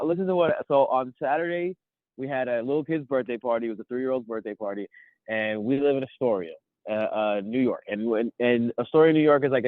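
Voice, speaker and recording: A man in his thirties with a cold, chatting as a podcast co-host and rambling excitedly through his stories. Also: mid-range voice, no room sound. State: sniffly from a cold. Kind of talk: casual chat. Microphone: phone. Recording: tinny phone line.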